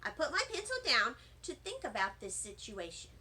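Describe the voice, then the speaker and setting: A woman reading a children's storybook as an excitable, dramatic little first-grade girl, with childlike grammar and emphatic delivery. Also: a little high, normal speed, pitched below the performer's own voice. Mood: earnest, dramatic. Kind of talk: children's storybook read-aloud.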